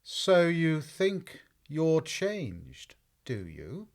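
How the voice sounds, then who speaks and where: A woman's voice: low voice, huge pitch swings, drawn-out words, darkish tone, exaggerated voice. A woman playing a languid, sleepy, curt and contrary caterpillar in a full-cast audiobook. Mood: languid, commanding.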